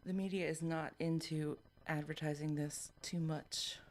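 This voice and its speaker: A woman's voice: sits low, fairly even intonation, a little slow, darkish tone. An American woman chatting casually and unscripted as a livestream commentator, in a hesitant, rambling way. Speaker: livestream host commenting on police scanner.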